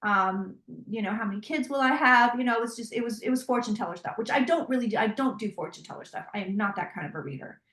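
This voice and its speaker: A woman with a fairly high voice tells stories in an animated, chatty way, often restarting her sentences. She sounds like she is in a roomy, echoey space. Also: fast, hardly any pauses. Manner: animated storytelling with many restarts. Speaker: psychic tarot reader. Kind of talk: interview conversation.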